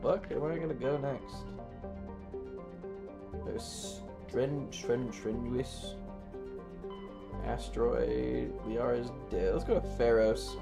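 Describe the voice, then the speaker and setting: Guy streamer chatting w viewers, slow n teasing, swears, game music behind him. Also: mid-range voice, steady tone, gravelly. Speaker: livestreamer playing a game. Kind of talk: casual chat with viewers during gameplay. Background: video game music.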